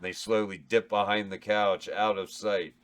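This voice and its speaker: Low male voice reading script directions, plain and steady. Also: measured pace, clean tone. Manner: flat stage directions, occasional self-corrections. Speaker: stage-direction reader.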